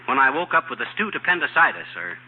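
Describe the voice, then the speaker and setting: An actor playing a boastful, scheming small-town husband who wisecracks in slangy talk in a 1940s radio comedy. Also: male voice, mid-range voice, sing-song, fast talker, narrow, tinny audio, close to an everyday voice. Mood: chatty, enthusiastic. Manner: brash, slangy, cheerfully bragging. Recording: thin, noisy 1940s radio broadcast.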